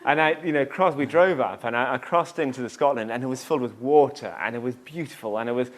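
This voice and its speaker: A man's voice: medium pitch, quick pace, slight background noise. Joking man telling stories. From South Africa. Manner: self-deprecating, jokey, rambling with many fillers. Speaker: tour company founder, guest. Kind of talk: casual interview storytelling.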